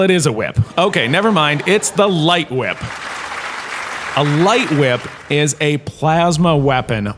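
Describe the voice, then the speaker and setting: A man playing a vain, washed-up drama star lecturing as a chatty, self-satisfied professor in a comic audio drama. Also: fairly high pitch. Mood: showy, enthusiastic.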